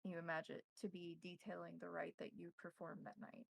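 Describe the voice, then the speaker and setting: A woman running a tabletop horror game as game master, narrating in a deliberate, descriptive way. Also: fairly low pitch, completely flat delivery, gravelly, no echo.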